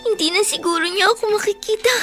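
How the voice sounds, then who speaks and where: A woman's voice: extremely high pitch, fast. A woman with a Filipino accent playing a hurt, abandoned runaway girl in a radio drama, plaintive and emotional. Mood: tearful, desperate. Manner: emotional, plaintive, polite to elders. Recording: music under the voice.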